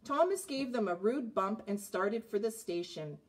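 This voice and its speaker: Woman, forties, storybook reading, lively intonation, slightly husky, expressive phrasing. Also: medium pitch, moderate pace. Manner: expressive, clearly phrased narration with asides about the pictures. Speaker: storybook reader. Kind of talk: reading a children's picture book aloud. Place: indoors, child's playroom.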